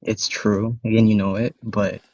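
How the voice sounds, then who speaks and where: A man's voice: lowish voice, little pitch movement, normal speed, a little mellow, no room sound. Blunt young man, Spanish native, dull lo-fi call audio. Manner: blunt, rambling, many restarts and fillers. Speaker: caller in a live-stream debate. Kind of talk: casual argumentative chat.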